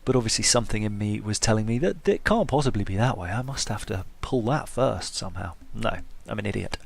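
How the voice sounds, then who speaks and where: A man's voice: on the low side, animated, a little fast, vocal fry. A man giving casual playthrough commentary with wry, self-deprecating humour. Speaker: gaming video host.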